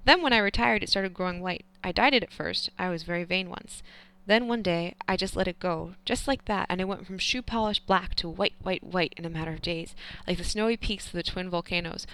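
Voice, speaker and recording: A woman's voice: fairly low pitch, brisk, bright, forceful tone, clean tone, no room sound, low-quality recording. A woman voicing a teasing, doting elderly grandfather in an audiobook, coaxing and playful. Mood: reminiscing. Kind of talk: audiobook character reading.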